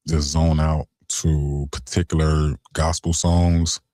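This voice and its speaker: A young adult man, hosting a late-night radio show in a laid-back, conversational way and giving shout-outs. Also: level tone, slow pace, no room sound, studio quality. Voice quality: deep voice.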